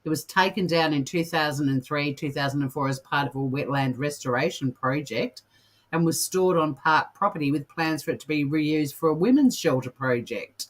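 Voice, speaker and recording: Low-voiced woman, jokey co-host. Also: normal speed. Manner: jokey, amused. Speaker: co-host of a comedy news show. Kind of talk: casual chat and reading out odd news.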